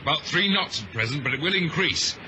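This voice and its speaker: Actor as dutiful chief officer, animated, old radio. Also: male voice, a little high, a little fast, lightly acted. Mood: tense, dutiful. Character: brisk, dutiful male ship's chief officer. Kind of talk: old-time radio drama acting. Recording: noisy vintage radio drama recording.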